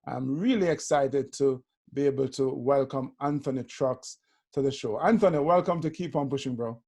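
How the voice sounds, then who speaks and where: A man's voice: medium pitch, moderate pace, vocal fry, dark tone, husky. A middle-aged man with a Jamaican accent hosting a podcast interview in an upbeat, loose, conversational way. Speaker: host.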